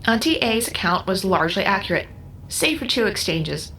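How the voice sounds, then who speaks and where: A polite female artificial intelligence voiced by a woman in an audio drama. She sounds bright, formal and measured, showing no emotion. Background ambience is audible. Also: mid-range voice, big pitch swings, a little hiss or hum, poor audio. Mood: clinical.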